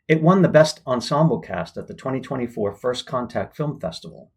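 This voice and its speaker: Husky man reviewing films, lively intonation. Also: medium pitch, brisk. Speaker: vlog host and film festival worker. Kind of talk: film review narration to viewers.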